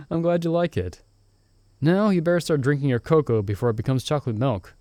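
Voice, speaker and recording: Man playing a mellow, caring young mage friend. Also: on the high side, moderate pace, no room sound, clean studio sound, close to an everyday voice. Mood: warm, relaxed. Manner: casual, chuckling, reassuring. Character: cheerful, caring young male friend, novice mage in fantasy world. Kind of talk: ASMR roleplay, intimate one-sided conversation.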